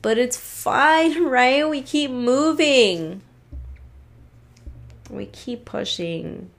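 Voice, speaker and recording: A woman's voice: sits high, animated, extremely slow, gravelly. A woman hosting a casual solo podcast, ranting in a frustrated, rambling way and swearing freely, a little congested from allergies. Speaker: podcast host.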